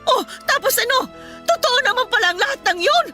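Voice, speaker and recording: A woman's voice: extremely high pitch, lively intonation, brisk, pushed and bright, faint music behind, heavily acted. An actress with a Filipino accent playing an angry, domineering middle-aged mother in a radio drama, scolding and demanding answers with heavy emphasis. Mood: hurt, reproachful. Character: angry, domineering mother confronting her adult daughter.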